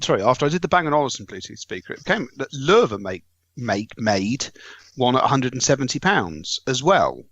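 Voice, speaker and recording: A man's voice: medium pitch, fairly bright tone, no echo. British guy on a tech podcast, long wry sentences, kinda dull dry audio. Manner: wordy, wry, formal phrasing. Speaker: podcast reviewer. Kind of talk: podcast discussion of home tech.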